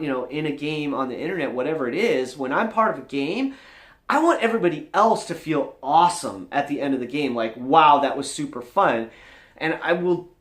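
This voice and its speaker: A man's voice: fairly high pitch, fairly bright tone. A man giving an earnest, emphatic motivational talk to camera as a vlog host, encouraging his viewers. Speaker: vlogger, channel host. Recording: roomy indoor echo.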